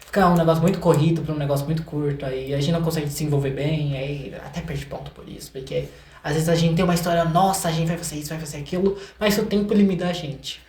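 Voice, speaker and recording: A man's voice: fairly high pitch, steady tone, fast talker, darkish tone. A young Brazilian man chatting casually and informally, often repeating words for emphasis. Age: young adult. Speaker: interview guest, video maker and film student. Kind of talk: casual chat. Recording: roomy echoing sound.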